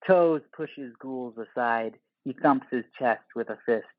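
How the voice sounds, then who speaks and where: A man's voice: medium pitch, a little slow, no room sound, phone-quality sound. Dry, clipped male narrator. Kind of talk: scripted narration of screenplay directions.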